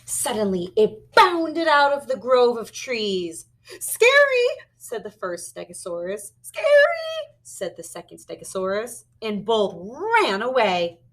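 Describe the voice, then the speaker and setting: A woman reading a children's story aloud expressively and pausing to explain words like a teacher. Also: mid-range voice, lively intonation. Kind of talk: animated children's read-aloud with word lessons.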